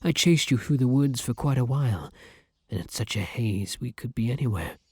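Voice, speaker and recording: A man's voice: medium pitch, lively intonation, normal speed, warm tone, husky, no echo, clean studio sound. A man playing a devoted, old-fashioned vampire in an ASMR roleplay, speaking formally and with flowery affection. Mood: calm, reassuring. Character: courtly, devoted gentleman vampire, male, tender and old-fashioned. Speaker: roleplay voice actor. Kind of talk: ASMR roleplay acting addressed to the listener.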